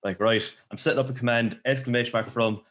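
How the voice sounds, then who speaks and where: An Irish man from Limerick, a podcast guest telling stories in casual chat, talking fast and laughing often. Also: medium pitch, steady tone, a bit bright, a little hiss or hum. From Ireland. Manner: chatty, laughs often, fast storytelling with quoted asides.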